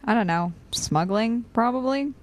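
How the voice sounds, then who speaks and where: A young woman playing a dry, cynical, practical operative in an audio drama, with a deadpan, sardonic way of talking. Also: a little high, a little slow. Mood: wry, impatient. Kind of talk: audio drama dialogue and log entries.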